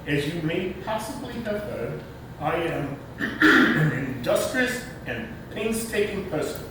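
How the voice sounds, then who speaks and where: A man playing a pompous, self-righteous, miserly old uncle in a staged play reading, with emphatic oratorical delivery. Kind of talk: staged readers theater performance. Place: echoey room, distant mic. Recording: echoey hall from far off, rumbling noise.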